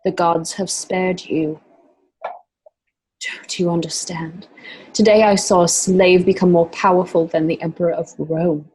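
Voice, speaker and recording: A woman playing an anguished, proud Roman noblewoman in an amateur radio drama, with a husky voice. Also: on the low side, fairly even intonation, a little slow, a little mellow, poor audio. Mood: urgent, intense.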